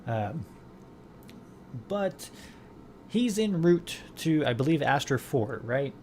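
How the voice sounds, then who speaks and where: A man's voice: a little high, very animated, takes it slowly, darkish tone, slight background noise. Rambling male podcast host. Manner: rambling, many fillers and restarts. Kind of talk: casual chat.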